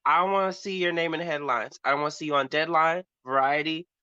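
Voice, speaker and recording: Bright, higher-voiced sassy man. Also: fast, clean tone, no room sound, dull audio. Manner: sassy, slangy, blunt. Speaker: host on a pop culture panel show. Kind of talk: casual chat.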